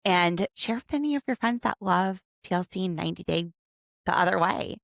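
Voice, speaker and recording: A woman in her thirties hosting a casual podcast from home, chatty and quick to laugh as she picks apart a reality show. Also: lowish voice, expressive, vocal fry, fairly bright tone, no echo, clean studio sound. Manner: chatty, laughs often, overanalyzing. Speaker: podcast host, attorney.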